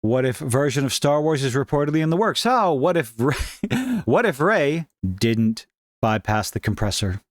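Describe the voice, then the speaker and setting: A man's voice: mid-range voice, very animated, normal speed, dry recording, studio quality. A man hosting a casual commentary show, reading and reacting to online posts in an opinionated, wry, informal way.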